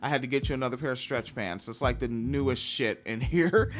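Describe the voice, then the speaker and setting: A young man hosting a podcast, chatting casually with a profane, squealy, animated way of talking. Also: medium pitch, brisk, soft background music. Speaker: podcast host. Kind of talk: casual chat.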